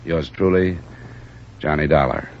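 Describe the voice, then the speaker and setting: An actor playing a hard-boiled insurance investigator in a 1950s radio drama, clipped and matter-of-fact. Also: male voice, a bass voice, a little slow, very noisy. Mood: dry. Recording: dull old radio transcription with music.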